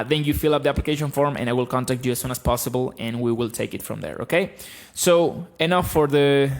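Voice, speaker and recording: A man in his thirties from Argentina, with an Argentine accent, giving a blunt, animated guitar lesson on a live stream from a small home room into a close stand mic. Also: mid-range voice. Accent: Argentine Spanish. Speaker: guitar teacher. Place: small room with bookshelves, indoors. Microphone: studio mic on stand, close.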